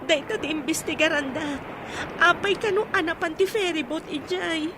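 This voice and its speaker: A woman's voice: very high-pitched, normal speed, pushed and bright. A young woman with an Ilocano accent playing an earnest village woman searching for news. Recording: clear crowd noise behind.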